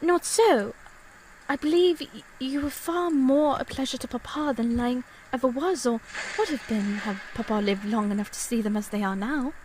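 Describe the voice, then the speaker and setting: Woman acting high-voiced, genteel young lady. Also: normal speed, darkish tone, slight background noise, natural voice, poor audio. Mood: earnest. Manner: polite, formal, old-fashioned phrasing. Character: genteel young lady, tender, sincere, quietly admiring. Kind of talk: period audio drama acting.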